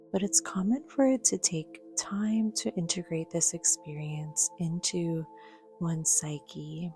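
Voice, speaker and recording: A woman speaks very slowly in a fairly low, soft voice that often drops close to a whisper. She guides a meditation gently and soothingly, leaving measured pauses between phrases. Faint music plays behind her. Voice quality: soft, breathy, half-whispered. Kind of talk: guided yoga nidra meditation, soothing narration.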